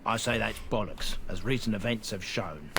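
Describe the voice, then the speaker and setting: Earnest merchant, noisy background. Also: male voice, lowish voice, normal speed, vocal fry, fairly bright tone. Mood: indignant. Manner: colloquial, plain-spoken, folksy. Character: earnest, proud merchant man defending his disgraced family's honor. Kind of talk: scripted fantasy game dialogue.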